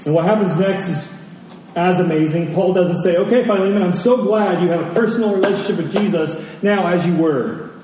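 A man preaching a storytelling sermon to a congregation, deliberate, with dramatic pauses and emphasis. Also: high-pitched, warm tone. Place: large indoor church space. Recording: very echoey hall, distant mic, faint noise.

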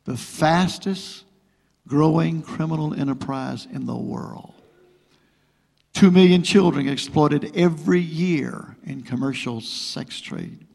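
Male preacher, middle-aged, gravelly, fairly high voice, repetitive rising exhortation, church hall reverb. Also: slow. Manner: builds to loud rising exhortation, repeats phrases. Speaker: guest preacher. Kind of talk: pentecostal sermon with prayer. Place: church sanctuary.

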